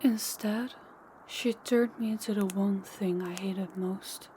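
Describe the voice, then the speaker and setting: A woman plays an ancient female vampire in an intimate audio roleplay. She is powerful, teasing and menacing, with slow, deliberate phrasing at a medium pitch. There is some background noise on the recording. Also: steady tone, warm tone, close to an everyday voice. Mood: bitter, haunted. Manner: slow, deliberate, taunting, dropping into whispers.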